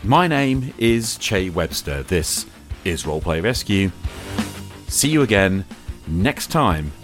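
A man hosting a podcast in a conversational, earnest and reflective way, with measured phrasing. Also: low voice, sing-song, over music. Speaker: podcast host. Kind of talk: conversational podcast talk on tabletop roleplaying.

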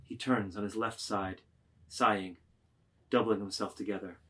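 A man narrating a literary work, reading stage directions aloud with measured, deliberate phrasing. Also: on the low side, fairly even intonation, a bit bright, husky.

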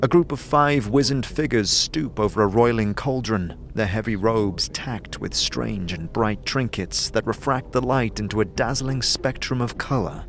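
A man giving measured, atmospheric scripted narration for an audio drama. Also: on the low side, gravelly, a little hiss or hum.

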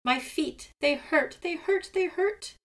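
A woman's voice: sits high, measured pace, pushed and bright, voice pushed up. Whiny old seagull, as a woman puts him on. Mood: wailing. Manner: wailing, squawking, repeating complaints. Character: old male seagull, whiny, self-pitying.

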